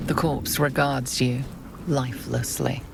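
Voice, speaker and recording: A woman playing the grave, ominous storyteller of a dark fantasy game, delivering scripted narration in a measured way. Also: very deep voice, flat delivery, gravelly, heavy background noise, full character voice. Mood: ominous, detached.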